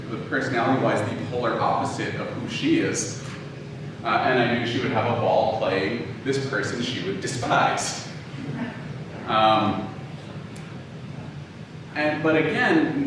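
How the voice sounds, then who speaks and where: Man lecturing in a very echoey room. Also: mid-range voice. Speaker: lecturer, audio drama producer. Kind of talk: informal public lecture with audience questions. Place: indoor room talk before an audience. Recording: very echoey room, distant mic, noisy.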